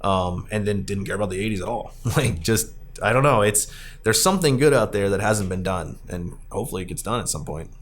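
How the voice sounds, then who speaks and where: A man's voice: lowish voice, lively intonation, brisk. A man speaking as a podcast host in a casual film discussion, rambling and opinionated. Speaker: podcast host, arcade president.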